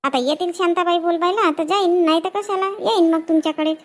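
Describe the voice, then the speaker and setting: A woman's voice: very high voice, fairly quick. A woman voicing a chatty village farm labourer in a cartoon comedy.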